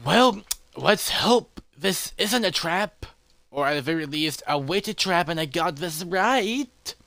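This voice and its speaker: A man's voice: a little high, animated, slow pace, vocal fry, sharp tone, no room sound, voice pushed up, exaggerated voice. A man voicing an anxious, easily distracted elephant adventurer who mutters to himself with repeats and hesitations. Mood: muttering, cautious. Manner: fretful self-talk with repeats and hesitations.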